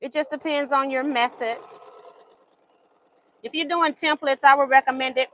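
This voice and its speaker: A woman's voice: high-pitched, steady tone, normal speed, fairly bright tone, a little hiss or hum. A woman hosting a quilting livestream, chatting casually while she sews, laughing often and answering viewer comments, her voice going in and out.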